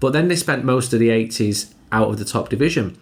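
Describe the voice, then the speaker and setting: A middle-aged man speaking in measured, clipped points about football history. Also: mid-range voice, animated. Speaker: podcast guest. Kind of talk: casual chat.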